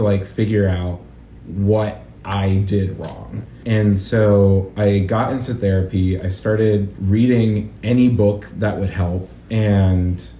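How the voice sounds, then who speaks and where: A man's voice: fairly low pitch, a little mellow. A man speaking as an interview guest in casual conversation, earnest and reflective, often saying "like". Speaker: guest, actor and acting educator. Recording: roomy echo, light background noise.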